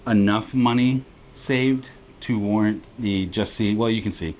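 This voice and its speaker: Low-voiced man giving a casual ship tour. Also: fairly even intonation, a little slow, darkish tone, slight background noise. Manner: easygoing, chatty, laughs between remarks. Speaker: travel vlogger co-host. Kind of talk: casual walking tour narration. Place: aboard a cruise ship, indoors and on open decks.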